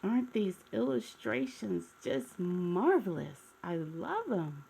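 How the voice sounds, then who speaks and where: A woman hosting story time, reading a picture book expressively, with dramatic pauses and asides to the children. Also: mid-range voice, creaky, breathy edge. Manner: animated, asks listeners questions, dramatic pauses.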